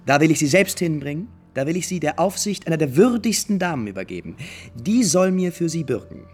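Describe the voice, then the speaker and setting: An actor playing a smooth, scheming prince in a 1950s German radio drama, speaking in a courtly, condescending, declamatory way. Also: male voice, fairly high pitch, big pitch swings, a little fast. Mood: persuasive. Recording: vintage 1950s radio recording.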